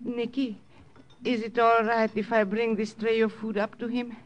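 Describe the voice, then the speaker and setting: A woman playing a gentle, anxious European grandmother with a pleading, tender manner in an old-time radio drama. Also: a little high, little pitch movement, normal speed, dull audio, lightly acted. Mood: tender, worried.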